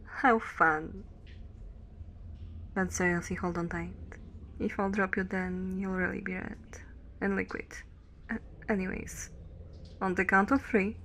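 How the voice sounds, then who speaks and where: A woman's voice: mid-range voice, fairly even intonation, unhurried, pauses often, a bit bright, slight background noise. A woman voicing a dominant, teasing immortal dragon woman in an ASMR roleplay, haughty and speaking straight to the listener. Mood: playful teasing. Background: cave ambience and fire sound effects.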